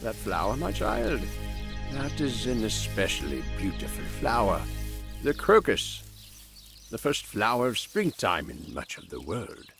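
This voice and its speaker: A man playing a gentle, devout old healer and priest in an audio drama, speaking earnestly in measured, old-fashioned formal phrases. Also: medium pitch, wildly swooping pitch, vocal fry, over music, heavily acted. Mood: tender, wistful.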